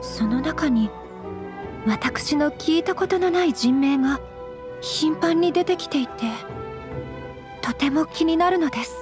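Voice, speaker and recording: A woman playing a polite, refined young noblewoman in a Japanese anime game, with formal, gently measured speech. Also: sits high. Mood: earnest, hesitant. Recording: music under the voice.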